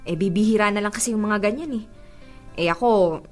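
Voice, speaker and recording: A woman's voice: medium pitch, a little fast, soft background music, close to an everyday voice. An actress playing a good-humored, skeptical young single woman in radio drama dialogue, bantering expressively and mock-scolding. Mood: impressed.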